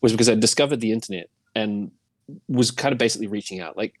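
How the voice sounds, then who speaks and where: A man's voice: lowish voice, fast, no echo. A middle-aged man with a New Zealand accent chatting casually as a podcast guest, in a rambling, self-deprecating way. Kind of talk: casual chat.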